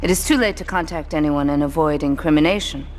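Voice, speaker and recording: A woman playing a commanding, ruthless female crime boss in a video game, controlled and deliberate with a dry, seductive tease. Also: sits low, slight background noise, lightly acted. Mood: cool, commanding. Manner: controlled, deliberate, dryly teasing. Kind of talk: video game cutscene acting.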